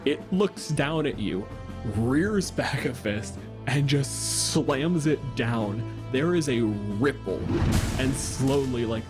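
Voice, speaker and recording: A man working as game master, improvising vivid, dramatic narration for a tabletop game with deliberate pauses. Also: mid-range voice. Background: music.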